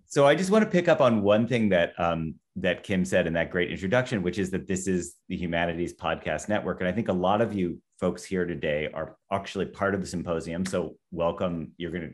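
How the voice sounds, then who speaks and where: A middle-aged man moderating a conversation in a casual, conversational style. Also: deep voice. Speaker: moderator and professor hosting a conversation.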